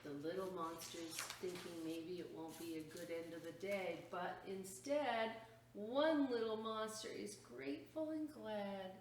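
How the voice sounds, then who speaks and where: Slow-paced woman reading a picture book aloud. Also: mid-range voice. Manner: expressive, exclaiming, pausing to point out pictures. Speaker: storytime reader at a library. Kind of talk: animated picture-book read-aloud with asides to children. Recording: roomy echo, distant mic, faint noise.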